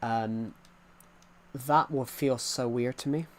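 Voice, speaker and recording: A man's voice: medium pitch, slow. A man in his twenties chatting casually on a game stream, rambling and repeating himself while answering chat from a desk mic. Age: 20s. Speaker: gaming streamer.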